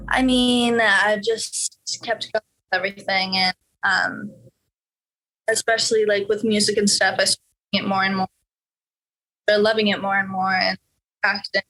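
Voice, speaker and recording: A woman's voice: mid-range voice, flat delivery, unhurried, pauses often, pushed and bright, low-quality recording. A teenage girl from Norway answering interview questions casually, hesitant, with many fillers and restarts. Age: teens. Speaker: interview guest, young musician.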